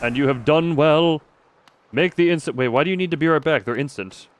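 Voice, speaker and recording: A man's voice: on the high side, fairly quick, no room sound. A man giving casual live gameplay commentary, rambling playfully and breaking into exclamations and silly sound effects. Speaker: live streamer.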